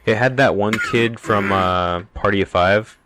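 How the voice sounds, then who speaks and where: A man's voice: lowish voice, a little slow, background noise. A man co-hosting a podcast in casual, conversational talk. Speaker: podcast co-host.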